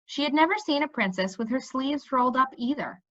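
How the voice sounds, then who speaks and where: A woman reading a children's story aloud in an expressive, animated storytelling manner. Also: sits high, dull audio. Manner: animated, pausing to check in with listeners.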